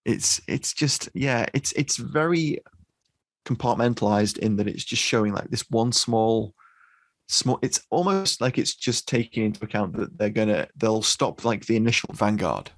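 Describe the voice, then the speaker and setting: Brief: male podcast host, low gravelly voice, dry close sound, chatty and hesitant. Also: lively intonation, moderate pace, no echo. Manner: conversational, hesitant, uses fillers like "you know". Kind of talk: casual podcast discussion.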